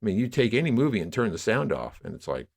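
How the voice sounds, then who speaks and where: Male podcast guest, lower-pitched voice, talks through ideas conversationally as an emphatic, discursive storyteller. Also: very animated, fast talker, gravelly. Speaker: podcast guest, audio drama producer. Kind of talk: conversational interview, explaining media history.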